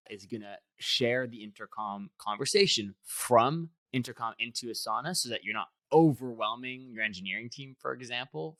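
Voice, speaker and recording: A man presenting a software tutorial in a conversational way, explaining things step by step. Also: mid-range voice, dry recording. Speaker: software product demonstrator.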